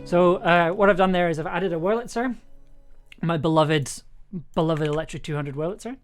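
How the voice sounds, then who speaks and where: Casual livestreaming creator, mid-pitched, musing and hesitant delivery, prominent music underneath. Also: moderate pace. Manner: hesitant, thinking aloud, trailing off. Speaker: streamer, audio drama producer and composer. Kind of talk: casual livestream chat while working. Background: music playing, keyboard typing.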